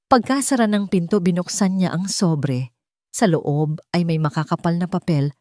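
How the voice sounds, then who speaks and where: A woman narrating a dramatic audiobook story in measured, suspenseful phrases. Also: on the low side, warm tone, no room sound, clean studio sound.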